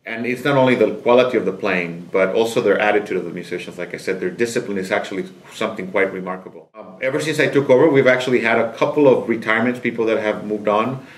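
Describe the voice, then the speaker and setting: A man's voice: fairly low pitch, quick pace, few pauses. A middle-aged man from Nicaragua, a music director, answering interview questions in a thoughtful, storytelling way with small restarts. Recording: echoey concert hall.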